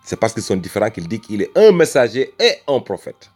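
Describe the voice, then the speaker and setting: A man's voice: lowish voice, very animated, brisk, a little mellow, faint music behind. A man from Senegal arguing a religious point with emphatic rhetoric, repeating phrases and calling the listener brother. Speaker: religious speaker. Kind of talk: impassioned religious argument.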